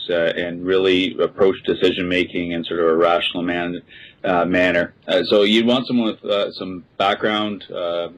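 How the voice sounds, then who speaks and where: Male panel guest, deep voice, measured and hesitant delivery, conversational. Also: poor audio. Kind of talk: casual panel discussion.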